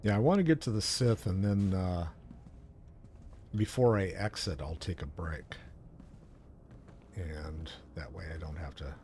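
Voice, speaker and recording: A man's voice: sits low, unhurried, pauses often, dark tone, a little hiss or hum. A man streaming a video game, casually thinking aloud in rambling commentary as he plays. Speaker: livestream host playing a video game.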